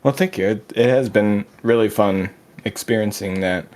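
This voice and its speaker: A man co-hosting a casual chat show over a call, laid-back and halting. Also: fairly low pitch, darkish tone. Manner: laid-back, halting, many fillers and restarts. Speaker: podcast co-host.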